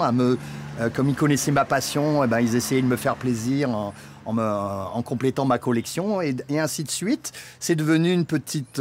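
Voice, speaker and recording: A man speaking spontaneously in a documentary interview as an insect collector, explaining things point by point. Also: medium pitch. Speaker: insect collector and exhibition guide. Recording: clear background noise, natural ambience.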